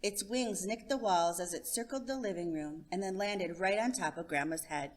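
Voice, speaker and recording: A woman hosting a story time, reading a children's picture book aloud in an expressive narration. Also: medium pitch, normal speed. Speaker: storytime host and reader.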